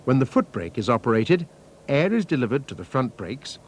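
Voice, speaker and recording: A man reading scripted technical instructions as a training film narrator, in a measured, methodical, formal manner. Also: medium pitch, very animated. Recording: faint noise, archival training film soundtrack.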